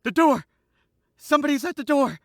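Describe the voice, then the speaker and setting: A man's voice: extremely high pitch, lively intonation, normal speed, no echo. A man acting in an old-time radio drama as a nervous, defensive young accomplice who speaks hesitantly. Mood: panicky. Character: jittery, guilt-ridden young accomplice, weak and defensive.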